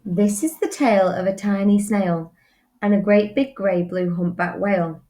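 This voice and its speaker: Woman, medium pitch, expressive storybook narration, rhyming verse, teacher reading to children. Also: moderate pace.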